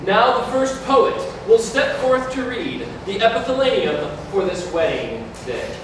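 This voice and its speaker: A man acts as an exalted officiant at a mystical stage wedding. His high voice moves slowly through solemn, incantatory verse. It comes from far off in a very echoey hall. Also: animated, noisy background. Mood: exalted, ceremonial. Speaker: actor in a staged reading. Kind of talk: ceremonial theatrical verse recital. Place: large community hall, staged reading.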